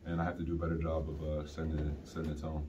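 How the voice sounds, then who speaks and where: Young player at a presser, very low monotone, quick delivery, muffled distant mic. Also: male voice, background noise. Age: young adult. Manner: flat, brief, matter-of-fact. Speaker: college basketball player. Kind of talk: postgame press conference answers. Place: press conference room, seated at a table. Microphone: table microphone on a stand. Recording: dull, distant sound.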